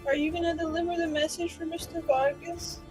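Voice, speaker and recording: A woman's voice: very high voice, level tone, brisk, darkish tone, soft background music. A woman voicing a girlish, plaintive young noblewoman in a tabletop roleplaying game, childlike and dramatic. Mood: earnest.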